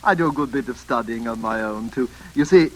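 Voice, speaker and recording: An actor playing a jaunty, overfamiliar stranger with a sinister joviality in an old-time radio drama. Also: male voice, medium pitch, fairly quick, natural voice. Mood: smug, musing. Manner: jaunty, chuckling, punctuates with "eh". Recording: noisy vintage radio drama recording.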